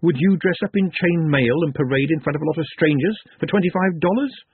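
A man's voice: fairly high pitch, a little fast, husky, no room sound, voice pushed up. A man voicing a talking glass of sherry in a storybook, a cheeky tempter who coaxes and argues. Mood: cheeky persuasion. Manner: coaxing, brash, argumentative. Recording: muffled phone-like narrow band.

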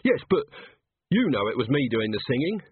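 A man voicing a sharp-tongued, sarcastic young woman singer with a sour, pointed delivery. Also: medium pitch, big pitch swings, normal speed, slight background noise, close to an everyday voice. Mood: desperate. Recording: thin narrow-band phone-like sound.

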